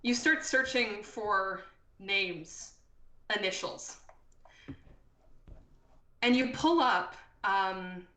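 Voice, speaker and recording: A woman's voice: mid-range voice, expressive, extremely slow, long pauses, bright, forceful tone. A woman running a tabletop role-playing game as game master, improvising narration for the players. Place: indoor room, live online stream. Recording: roomy, echoey sound.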